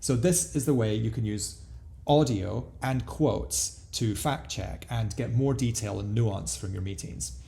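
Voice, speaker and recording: A man giving a casual, explanatory product walkthrough as a software demo presenter. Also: lowish voice, measured pace, creaky.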